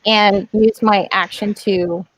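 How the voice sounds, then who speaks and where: A woman's voice: medium pitch, fairly even intonation, slow pace, no echo, poor audio. A woman playing an online tabletop game, talking casually and tentatively as she checks the rules. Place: online voice call.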